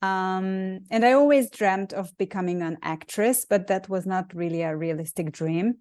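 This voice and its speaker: A woman's voice: medium pitch, moderate pace, a little mellow, dry recording. A woman in her forties from Hungary with a Hungarian accent, telling her story as an interview guest in a thoughtful way with many ums and restarts. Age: 40s. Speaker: guest, actress and acting coach. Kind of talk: casual interview chat.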